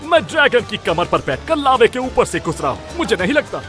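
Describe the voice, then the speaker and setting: A man's voice: very high voice, sing-song, brisk, fairly bright tone, soft background music, heavily acted. A man voicing a boastful, theatrical ship captain in a cartoon dub, pompous and dramatic. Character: boastful, theatrical male ship captain telling tall tales. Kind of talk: Hindi cartoon dub acting.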